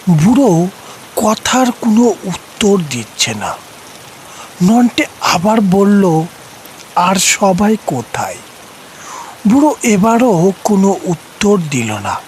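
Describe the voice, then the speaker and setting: A man's voice: high voice, very animated, slow, darkish tone, a little hiss or hum, low-quality recording. A man narrating a scripted horror story as a dramatic storyteller, with rain behind him.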